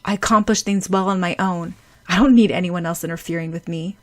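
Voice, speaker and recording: A woman voicing a cold, sharp-tongued teenage schoolboy who talks down to others. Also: lowish voice, steady tone, fairly quick, lightly acted. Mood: aloof.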